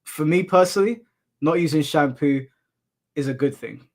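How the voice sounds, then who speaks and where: A man from the UK with a monotone voice, casually chatting and answering his livestream chat, laughing off insults. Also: fairly high pitch, normal speed. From United Kingdom. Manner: relaxed, laughs off insults, addresses chat as bro. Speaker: streamer. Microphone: headphones in, desk mic.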